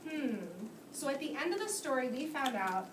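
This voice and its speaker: High-voiced woman teacher, echoey noisy room. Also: big pitch swings, moderate pace. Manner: instructive, praising, repeats children's answers. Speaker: teacher of young children. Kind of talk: reading aloud to a class, asking questions. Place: classroom full of young children. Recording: echoey noisy classroom, distant microphone.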